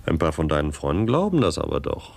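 A man's voice: extremely low pitch, big pitch swings, quick pace, vocal fry, heavily acted. A man playing an insinuating police inspector in a radio play, needling and probing. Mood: sly, pressuring. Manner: needling, leading questions. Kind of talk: German radio play acting.

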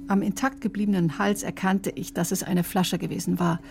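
A woman's voice: lowish voice, fairly even intonation, brisk, vocal fry, a little mellow, faint music behind. An actress playing a defensive, conscience-stricken woman doctor in a radio drama, recounting events in a precise, clinical way. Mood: matter-of-fact. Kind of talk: German radio drama acting, testimony-like narration.

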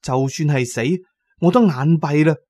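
Man acting as wretched male addict, raised pitch, plaintive, sorrowful, emphatic, radio play. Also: moderate pace, a little mellow, dry recording, clean studio sound, natural voice. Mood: grieving lament. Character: destitute male opium addict, tearful, lamenting, loyal to his dead friend. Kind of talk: Cantonese audio drama acting.

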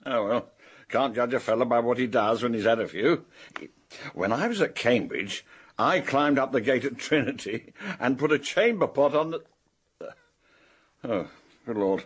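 A genial, older upper-class English gentleman in a radio drama. He speaks in a bluff, clipped way, with a husky mid-pitched voice. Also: animated, moderate pace, long pauses.

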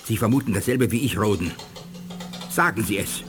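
An actor playing a grave, learned alien advisor with a formal, probing manner in a 1980s science fiction radio play. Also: male voice, lowish voice, normal speed, lightly acted. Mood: grave, alert.

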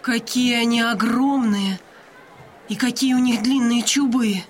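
A woman's voice: on the high side, steady tone, measured pace, a faint crowd, slight background noise, lightly acted. An actress playing a naive, exclamatory young noblewoman in an audio drama. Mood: wide-eyed excitement. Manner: gasping, exclamatory.

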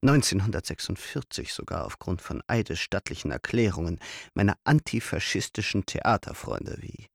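An actor playing a vain theatre director in a radio play, speaking in a declamatory, theatrical way. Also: male voice, deep voice, sing-song, normal speed, gravelly, no room sound, clean studio sound, heavily acted. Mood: recounting.

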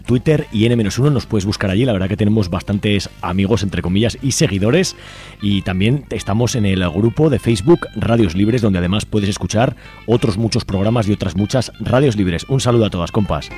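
Lowish-voiced Spanish man hosting informal radio. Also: fairly quick, darkish tone. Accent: Spain. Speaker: radio co-host. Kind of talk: informal community radio presenting. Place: radio studio. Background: music.

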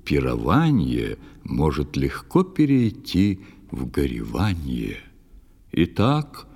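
Man as hermit priest, very low, expressive, grave, foreboding, preacherly audio drama delivery. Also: long reverb tail, heavily acted. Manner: preacherly, weighty, ominous.

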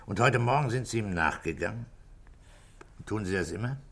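An actor in a 1960s German radio play, playing a calm, authoritative middle-aged police inspector with a terse, questioning manner. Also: male voice, fairly low pitch, normal speed, vocal fry. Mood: calm, probing.

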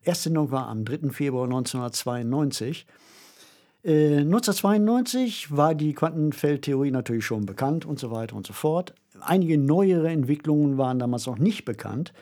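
A man co-hosting a podcast, presenting conversationally in a deliberate, musing and emphatic way. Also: fairly high pitch, expressive, mellow. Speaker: podcast co-host. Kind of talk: conversational presenting of radio plays.

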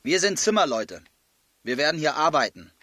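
An actor playing an educated, cheerfully defiant camp prisoner in a radio play, speaking lightly and nonchalantly. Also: male voice, a little high, very animated, normal speed, bright, forceful tone, no echo, heavily acted. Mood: bluffing. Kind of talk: German radio play acting. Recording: studio radio play, music underneath.

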